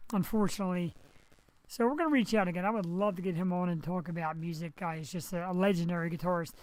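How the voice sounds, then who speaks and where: A man hosts a live webcast talk show through a headset microphone. His voice is raspy and hoarse after surgery. He rambles in a chatty way, talking straight to his viewers. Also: sits high, rough recording. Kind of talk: casual live webcast monologue.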